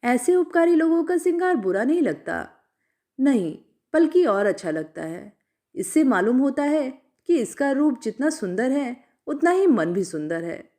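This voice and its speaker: A woman storyteller narrating a literary story from a script in an expressive way. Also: sits high, unhurried.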